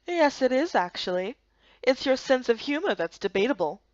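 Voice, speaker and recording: A woman's voice: high voice, sing-song, normal speed, no room sound, lo-fi sound. A woman voicing a smug, sarcastic male guard leader in a visual novel game, sardonic and condescending.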